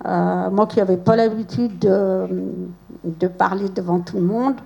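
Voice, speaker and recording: A woman's voice: mid-range voice, steady tone, measured pace, creaky, mellow, lo-fi sound. A woman speaking as a parent at a school event, hesitant and sincere, with many fillers.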